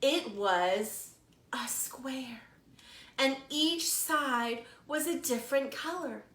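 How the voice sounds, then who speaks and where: Bright, slow-paced American woman storyteller. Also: high voice. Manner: deliberate, dramatic emphasis. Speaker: children's librarian storyteller. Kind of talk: expressive storytelling for preschoolers. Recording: roomy echo.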